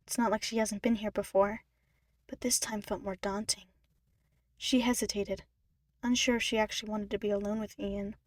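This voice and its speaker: A woman narrates a piece of fiction as a scripted reading. Her voice sits at a middle pitch, recorded close and dry with little room sound. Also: a little mellow, dry recording. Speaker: podfic narrator.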